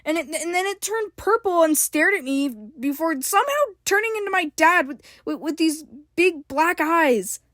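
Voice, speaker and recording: A woman voicing a frightened, anxious young girl who stammers and rushes her words. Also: flat delivery, dry recording, clean studio sound, natural voice. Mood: frantic. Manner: stammering, frantic, high-pitched.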